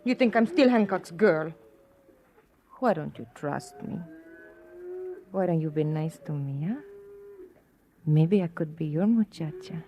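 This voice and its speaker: A woman's voice: deep voice, sing-song, unhurried, pauses often, dark tone, exaggerated voice. A woman playing a fiery, coquettish temptress in an old-time radio western. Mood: seductive. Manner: pleading, coquettish, hot-tempered. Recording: noisy vintage radio recording.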